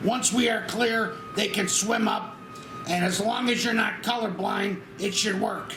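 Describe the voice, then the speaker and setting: A man's voice: high-pitched, fairly even intonation, a little slow, over music. A man playing an earnest, bossy airline crewman in a spoof screenplay, giving instructions emphatically at a table read. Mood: urgent instructing. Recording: noisy, echoey room.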